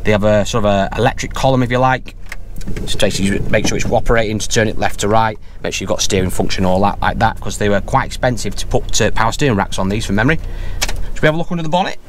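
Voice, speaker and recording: A man's voice: lowish voice, quick pace. A British man in his thirties casually reviewing a car while driving, talking in a rambling, chatty way. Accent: British English. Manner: chatty, rambling, hedges with "from memory". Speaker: car dealer vlogger. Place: inside a small car, driving. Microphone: camera on dashboard. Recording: car interior with clear road noise. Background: road and engine noise.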